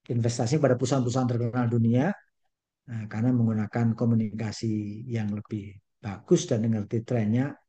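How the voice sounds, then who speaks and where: Older male lecturer, mellow tone, folksy delivery with English phrases mixed in, video call. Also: mid-range voice, flat delivery, brisk. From Indonesia. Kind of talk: online lecture.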